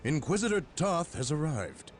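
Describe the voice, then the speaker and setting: An actor playing a dutiful space marine specialist in a video game, reporting in a formal, clipped manner. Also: male voice, a little high, big pitch swings, normal speed, soft background music. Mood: businesslike. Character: dutiful male space marine specialist, disdainful of alien technology. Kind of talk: dramatic video game cutscene acting.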